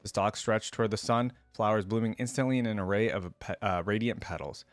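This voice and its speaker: Man, lower pitch, dry sound, casual story reading, informal reaction host. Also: fairly quick, no room sound. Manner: informal, slangy asides. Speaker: host of a game lore reaction video.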